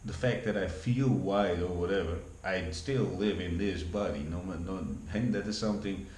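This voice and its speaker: A Dutch-accented man in his forties with a fairly low voice, talking off the cuff for his vlog. He wanders, restarts sentences and repeats words, in a room with a noticeable echo. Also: normal speed, a little mellow. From Netherlands. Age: 40s. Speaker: vlogger. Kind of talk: casual rambling monologue. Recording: echoey room with faint background hum.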